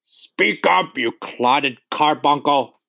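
Storybook tyrant headmistress, played by a man: big, furious, bellowing, slow accusing roars. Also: fairly high pitch, gravelly, pushed and bright, no echo, voice pushed up, heavily acted. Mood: enraged, accusing. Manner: roaring, drawn-out accusing delivery.

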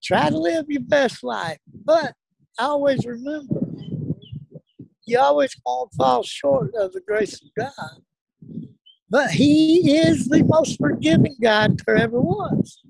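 A man's voice: extremely high pitch, lively intonation, takes it slowly, creaky, a little mellow, no room sound, poor audio. Earnest middle-aged man, dry sound. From South Dallas, Texas. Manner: earnest, emphatic, plain-spoken. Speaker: guest. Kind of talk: casual interview talk, preaching.

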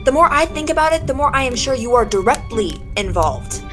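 Sardonic lady detective played by a woman, highish voice, old-time radio whodunit style, grilling suspects over prominent music. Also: lively intonation, fairly quick, fairly bright tone. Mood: commanding. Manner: commanding, interrogating, sardonic. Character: sharp, accusing woman detective cornering suspects. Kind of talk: 1940s radio detective acting. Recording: dramatic music behind the voice.

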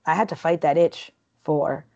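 A woman's voice: low voice, moderate pace, gravelly, a little mellow, highs cut off. A woman from Peru in her thirties, talking casually as an interview guest, chatty and rambling with many fillers and restarts.

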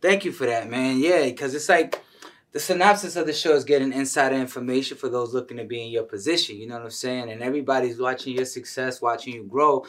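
Casting: American male podcast host, medium pitch, slangy, familiar, hype-man delivery, conversational. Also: fast, a bit bright, recorded from a distance. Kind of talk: casual podcast interview.

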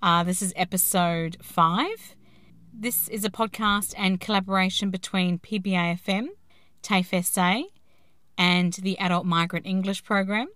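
A woman opens a podcast in a reflective, musing tone. Her mid-pitched voice sounds dry and close, with no room behind it. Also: steady tone, unhurried, a bit bright, no room sound. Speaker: podcast host.

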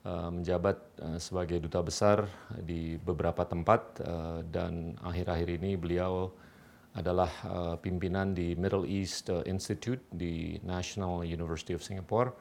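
Low-voiced host, halting delivery. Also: male voice, fairly even intonation, gravelly, darkish tone. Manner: halting, repeats words and fillers. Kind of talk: interview hosting.

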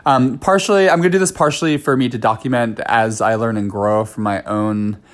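A man hosting his own podcast, talking casually and candidly while he has a cold, with lots of fillers and quick asides. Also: medium pitch, fairly even intonation. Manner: chatty, candid, many fillers and self-asides. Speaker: podcast host. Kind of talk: casual solo podcast talk.

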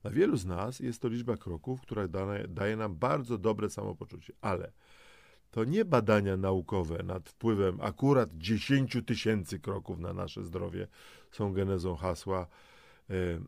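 Male co-host for a health audio show: low, gravelly, conversational, punches key words. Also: measured pace, a little mellow, dry recording, studio quality. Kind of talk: conversational explainer addressed to the listener.

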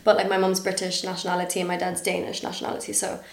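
A woman's voice: fairly low pitch, flat delivery, fast, vocal fry. Chatty woman with an international school accent. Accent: international school, partly American. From Dubai. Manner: chatty, giggly, trailing fillers. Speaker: podcast guest. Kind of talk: casual chat. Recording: echoey room.

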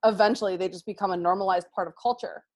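A young American woman who teaches sixth grade talks as a podcast guest. She explains earnestly in a mid-pitched, conversational voice and checks in with "right?" as she goes. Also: steady tone, rapid-fire, a little mellow. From United States. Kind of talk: conversational interview.

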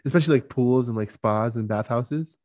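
A man's voice: medium pitch, brisk, darkish tone, lo-fi sound. A man co-hosting a podcast, talking casually in a rambling way, laughing often and filling with "like". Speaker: podcast co-host. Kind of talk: casual chat.

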